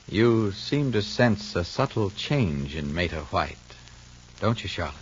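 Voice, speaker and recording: A man's voice: low-pitched, very animated, unhurried, a little hiss or hum. A man working as a 1950s radio announcer, reading narration and ad copy in a polished, emphatic style. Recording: muffled old radio recording.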